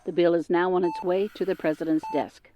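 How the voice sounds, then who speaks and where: An actress playing a brisk, formal news anchor reading a bulletin in an audio drama. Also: female voice, lowish voice, fairly even intonation, natural voice. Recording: dull, lo-fi broadcast sound.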